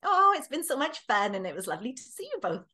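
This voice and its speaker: A woman's voice: high voice, sing-song, fast talker, sharp tone. A middle-aged woman with a British accent, a guest author chatting on a podcast interview, laughing often and searching for words.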